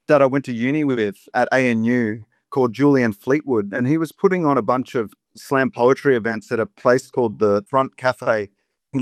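A man's voice: medium pitch, dry recording. A man in his thirties with an Australian accent, a poet and rapper telling stories as an interview guest, chatty with many asides. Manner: warm storyteller, chatty with many "you know" asides. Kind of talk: relaxed reflective interview talk.

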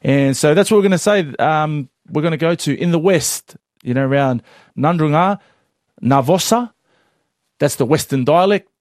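A man co-hosting a podcast in casual chat, upbeat and quick to laugh. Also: on the high side, darkish tone, no room sound, clean studio sound. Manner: laughs often, teasing and upbeat. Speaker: podcast co-host.

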